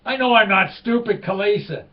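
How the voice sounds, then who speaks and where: A man's voice: sits high, lively intonation, moderate pace, recorded from a distance, pitched above the performer's own voice. A man playing a touchy Quebecer tourist, choppy English, lo-fi sound. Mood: exasperated. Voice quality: broken English. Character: excitable Quebecer man, touchy about country.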